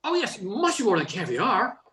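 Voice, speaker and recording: A woman plays an earnest professor in a radio comedy. The pitch is mid-range but swings widely, with grand, mock-solemn patriotic drama. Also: huge pitch swings, measured pace, a bit bright. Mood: eager, playful. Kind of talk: comic radio play acting.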